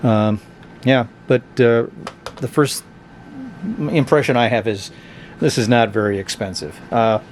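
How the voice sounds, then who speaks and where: A man's voice: medium pitch, steady tone, measured pace, pauses often, slight background noise. A man giving a casual, blunt review of a cheap radio, thinking aloud as he tests it. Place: indoors at a tabletop.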